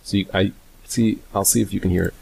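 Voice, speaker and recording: A man presenting a casual, unscripted software tutorial in a hesitant, rambling way, often correcting himself. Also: lowish voice, steady tone, vocal fry, heavy background noise. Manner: hesitant, halting, self-correcting, rambling delivery.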